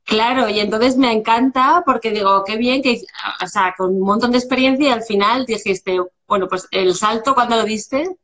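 A woman's voice: on the high side, normal speed, fairly bright tone. A woman in her forties with a Spanish accent, hosting a live video-call interview from home, chatty with many restarts. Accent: Spanish from Spain. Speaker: live stream host. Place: home room, indoors. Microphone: video call webcam.

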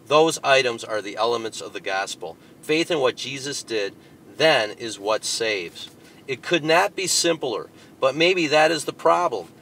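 Fired-up preacher guy scolding a tract, loud bursts then quiet asides, bright edge to the voice, faint hiss behind. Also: low-quality recording. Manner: emphatic, scolding, shifts between loud bursts and low asides. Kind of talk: fiery sermon reading and rebutting a tract.

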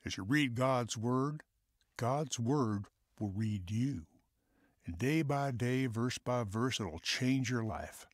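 An actor playing a fatherly older mentor with a deep Southern drawl in a radio drama. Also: expressive, no room sound, clean studio sound. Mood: earnest. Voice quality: deep drawl, slow, husky.

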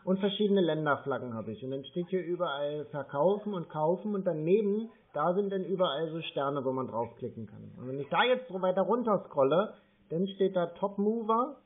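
A man chatting casually and hesitantly, rambling and slow to get to the point. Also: fairly high pitch, lively intonation. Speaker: scam-baiting YouTuber playing a naive customer on the phone. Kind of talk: casual phone chat. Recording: narrow, tinny phone-like sound.

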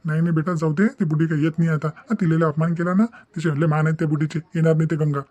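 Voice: medium pitch, flat delivery, racing, darkish tone, no echo, muffled recording, lightly acted. An actor playing a sensible, kindly older village uncle in a cartoon, explaining things in Varhadi Marathi. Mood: firm. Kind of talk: cartoon family drama dialogue.

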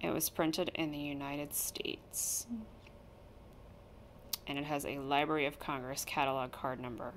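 A woman reading a children's picture book aloud, with expressive, deliberate storytelling and stressed key words. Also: low-pitched, slight background noise. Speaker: storybook reader.

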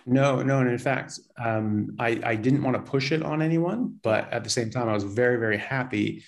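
A man's voice: mid-range voice, moderate pace, gravelly. A man in his forties, a doctor guest on a casual interview, talking over a video call from a small plain room and often repeating words as he starts a thought. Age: 40s.

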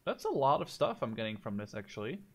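A man in his twenties giving casual let's play commentary, thinking out loud in short phrases as if talking to himself. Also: medium pitch, big pitch swings, fast. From Canada or Netherlands. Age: 20s. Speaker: gaming YouTuber playing and commentating.

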